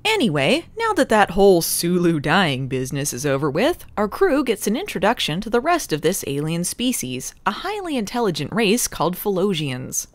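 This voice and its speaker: A woman's voice: lowish voice, huge pitch swings, moderate pace, hardly any pauses, a little hiss or hum. A woman hosting a comedy review, narrating casually in a sarcastic, irreverent way.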